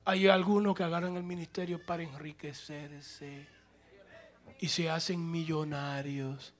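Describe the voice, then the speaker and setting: A male pastor preaching a sermon, moving between plain conversational talk and loud, shouted passages. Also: a little high, fairly even intonation, unhurried.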